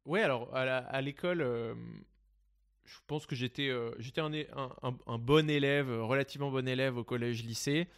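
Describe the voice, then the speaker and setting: A man's voice: medium pitch, brisk. A young man from the Paris region of France, a guest in an interview, telling his story casually with many fillers and restarts. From Seine-et-Marne, France. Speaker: guest investor.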